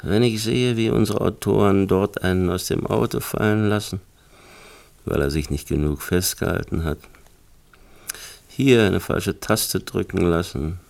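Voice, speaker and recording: A very low, gravelly male voice as a brooding film artist in a radio play. He muses haltingly, with a tender streak under the gloom. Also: a little mellow. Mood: musing, weary. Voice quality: deep, creaky. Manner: hesitant, musing, halting. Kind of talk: German radio drama acting.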